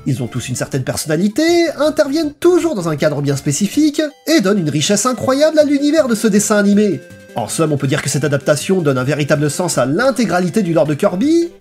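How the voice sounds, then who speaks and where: A man's voice: sits high, a little mellow. A man reading a scripted video essay in a playful, theatrical storytelling manner. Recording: voice-over with music behind. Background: background music.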